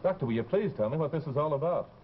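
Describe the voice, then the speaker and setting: Urgent, blunt male fiancé actor, thirties, fast and animated, 1950s TV drama. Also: on the high side, close to an everyday voice. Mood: worried, urgent. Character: insistent, worried young fiancé demanding answers. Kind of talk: 1950s live television drama acting. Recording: dull, noisy old TV soundtrack.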